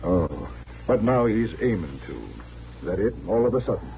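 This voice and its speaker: An actor playing a stubborn, aggrieved travelling tonic peddler in a 1950s radio western, with a folksy, insistent, rustic way of talking. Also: male voice, on the low side, big pitch swings, normal speed, creaky, dull audio. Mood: puzzled, protesting. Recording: band-limited old radio recording, faint noise.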